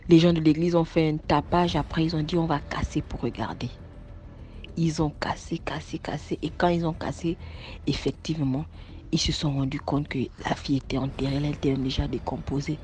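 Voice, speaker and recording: Woman storyteller, earnest and repetitive, flat and mellow delivery, slight hiss. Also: low-pitched, fairly quick. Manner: earnest, repeats phrases for emphasis. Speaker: story contributor. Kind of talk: telling a true spooky story, then a closing appeal. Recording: slightly dull sound with faint noise.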